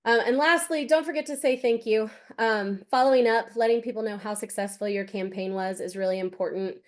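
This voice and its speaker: A woman's voice: fairly high pitch, brisk. Upbeat woman hosting a webinar. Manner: upbeat advice with ums. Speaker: webinar host. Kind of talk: webinar presentation.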